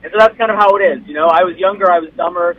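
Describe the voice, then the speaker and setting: A man's voice: sits high, very fast, slight background noise. Young man on a tinny phone line. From United States. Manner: polite, explanatory, slightly hesitant. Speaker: guest. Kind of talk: casual chat.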